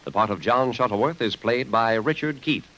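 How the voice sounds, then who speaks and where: A man's voice: medium pitch, normal speed, fairly bright tone, rough recording. A man working as a radio announcer in a polished, upbeat 1950s sponsor style on a vintage broadcast recording.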